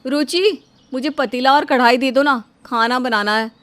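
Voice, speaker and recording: A woman's voice: high-pitched, measured pace, a bit bright, no echo. A woman voicing a haughty, bickering elder daughter-in-law in a household story, boastful and theatrical. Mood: scheming, fretful. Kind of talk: animated Hindi moral story dubbing.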